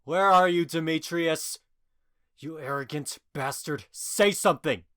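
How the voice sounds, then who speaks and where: Young hothead lover in audio drama, emphatic, sighy, close dry recording. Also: male voice, on the high side, measured pace, sharp tone, dry recording. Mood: angry. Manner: emphatic, theatrical, sighing. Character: hot-headed young lover hunting his rival. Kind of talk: audio drama acting of modernized Shakespeare.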